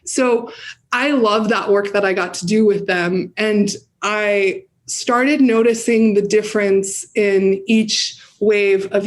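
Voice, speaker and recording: A woman with an American accent giving earnest, reflective answers as an interview guest. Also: medium pitch. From United States. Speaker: guest, campaign director and community organizer.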